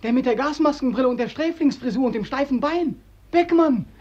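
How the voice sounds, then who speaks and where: An actor playing a bitter, haunted young drowned soldier in a mid-century radio drama, mockingly deferential, accusing and anguished. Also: male voice, extremely high pitch, fairly quick, a little mellow. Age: young adult. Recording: dull, band-limited old radio recording.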